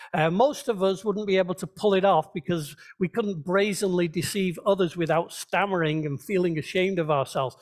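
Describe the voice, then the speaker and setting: A man preaching a sermon as a pastor, teaching conversationally and checking in with his listeners. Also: high-pitched. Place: church, speaking to a congregation.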